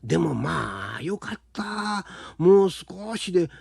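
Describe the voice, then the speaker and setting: A man reading as a slow-witted country fellow. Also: high-pitched, very animated, gravelly, a little mellow, husky, dry recording, studio quality, exaggerated voice. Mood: relieved. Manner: puzzled muttering to himself. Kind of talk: storybook character voice.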